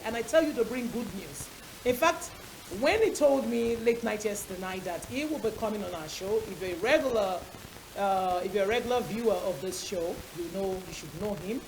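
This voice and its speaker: A woman's voice: mid-range voice, animated, brisk, darkish tone. A woman with a Nigerian accent hosting a talk show in a chatty, emphatic way, repeating phrases for stress. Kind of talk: chatty studio presenting. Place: TV studio set. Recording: hissy, clearly noisy audio.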